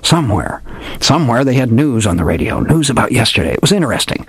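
A man's voice: mid-range voice, very animated, fast, husky, no room sound, voice pushed up, full character voice. A man doing a sonorous, smug old detective. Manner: tough-guy slang, theatrical and arch. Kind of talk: reading a story aloud.

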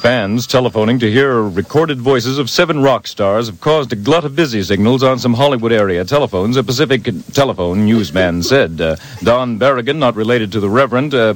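A man reading a news item in parody, stopping on filler sounds. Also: mid-range voice. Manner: halting, filler-laden reading. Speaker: comedy troupe member.

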